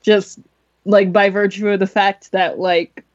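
A woman's voice: medium pitch, level tone, measured pace. Woman, young adult, casual podcast guest, rambling, filler-heavy, slightly muffled audio. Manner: rambling, many "like" fillers, laughs often. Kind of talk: casual film discussion.

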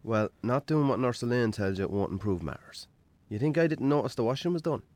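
An actor playing a defensive, hard-drinking Irish bachelor farmer in a naturalistic radio drama, colloquial and dryly sarcastic. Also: male voice, mid-range voice, lively intonation, a little fast, a little mellow, dry recording, close to an everyday voice. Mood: caring, patient.

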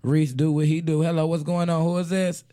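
A raspy-voiced man hosts a loose, joking radio show. His voice sits a bit higher than most men's, and he laughs a lot as he runs through slangy banter. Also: level tone, a little fast, warm tone. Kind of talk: casual comedic radio banter.

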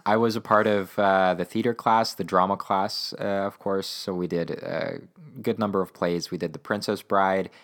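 A man's voice: deep voice, fairly even intonation, normal speed, vocal fry. A young man with a Canadian accent, a native Ukrainian speaker, chatting enthusiastically and agreeably as an interview guest, repeating words for emphasis. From Winnipeg, Canada. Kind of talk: casual chat.

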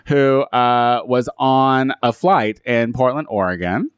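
A man in his late twenties hosts a chatty podcast in a campy, teasing way, laughing easily between stories. His voice sits at an average pitch and sounds close and dry, with no room around it. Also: takes it slowly, smooth, no room sound. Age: late 20s. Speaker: podcast host. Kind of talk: casual chat.

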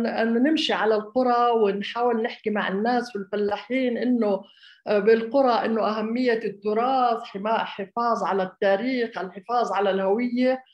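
Fast-talking woman speaker in an echoey room. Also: fairly high pitch. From Jordan. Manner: hesitant, with many fillers and restarts. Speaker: architect giving a talk. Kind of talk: conversational public talk.

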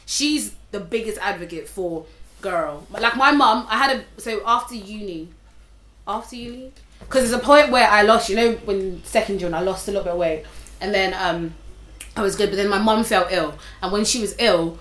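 A woman's voice: medium pitch, moderate pace, a bit bright. A young woman from Zimbabwe with an English accent, chatting candidly as a podcast guest, telling stories with frequent restarts. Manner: candid, storytelling, lots of restarts. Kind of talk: casual chat. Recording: roomy room echo.

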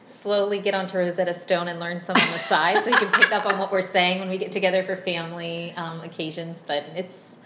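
A woman in her thirties from Virginia, chatting as a podcast guest in a friendly, unhurried way with many ums. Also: on the low side, level tone. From Virginia, USA. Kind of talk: casual interview chat. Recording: roomy echo, faint background hum.

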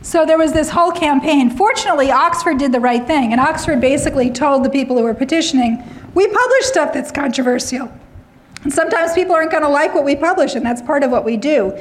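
A middle-aged woman lecturing on academic freedom, slightly hoarse, often clearing her throat and adding wry asides. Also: high-pitched, expressive, fast, slight background noise, poor audio.

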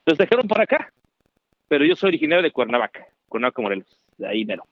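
A middle-aged Mexican man telling his story as an interview guest, animated and chatty with many fillers. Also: on the high side, racing, no room sound. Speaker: guest, founder. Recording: dry, dull remote call audio.

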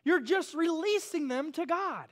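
High-voiced preaching man in his thirties. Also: unhurried. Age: 30s. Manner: animated preaching, swinging from hushed to loud; call-and-response with the congregation. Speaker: pastor. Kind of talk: sermon.

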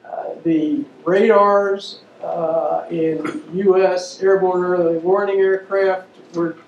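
A man giving an unscripted public lecture, pausing often with fillers and restarting his sentences. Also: sits high, darkish tone. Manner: halting, many fillers and restarts. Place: lecture room. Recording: dull, roomy, distant mic with faint noise.

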